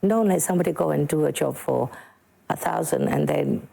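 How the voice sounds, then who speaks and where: A woman from Ghana speaks as a musician guest in a TV studio. Her voice sits low and gravelly, with a husky, mellow tone. She drives her points home emphatically and often repeats a word for stress. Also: lively intonation, fairly quick. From Ghana, Sekondi-Takoradi. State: a bit slow this morning. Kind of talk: morning TV studio interview.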